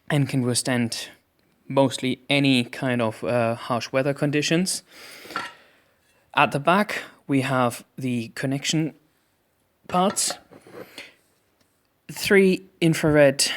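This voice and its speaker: Man narrating a product review, phrase by phrase. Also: mid-range voice. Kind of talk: unboxing review narration over hand-held footage.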